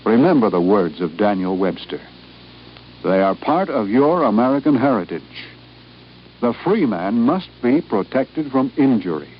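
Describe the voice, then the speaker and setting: A man's voice: lowish voice, sing-song, moderate pace. A man reading a scripted 1950s patriotic radio announcement in a solemn, oratorical manner. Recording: muffled old radio recording, faint noise.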